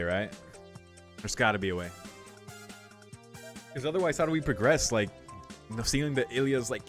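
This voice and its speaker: A man streaming a game, casually commenting and reading text aloud, thinking out loud as he goes. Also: medium pitch. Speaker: let's play streamer. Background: game music.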